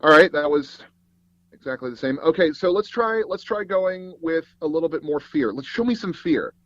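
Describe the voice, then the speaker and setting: A man telling anecdotes casually as an interview guest, animated and acting out bits of dialogue as he goes. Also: fairly high pitch, normal speed, no room sound, highs cut off. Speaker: guest, voice actor and audio drama producer.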